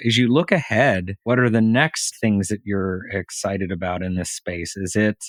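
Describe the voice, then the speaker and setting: Low-pitched American man interviewing, dry sound. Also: no echo. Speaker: podcast interviewer, physician. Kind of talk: conversational interview.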